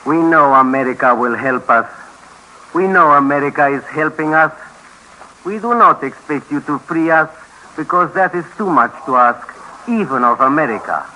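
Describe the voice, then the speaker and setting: An actor playing a young, hopeful refugee making an insistent, earnest appeal in a 1950s radio docudrama. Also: male voice, fairly high pitch, normal speed, pushed and bright. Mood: hopeful faith. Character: young, hopeful, pleading refugee from behind Iron Curtain. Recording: faintly noisy vintage radio recording.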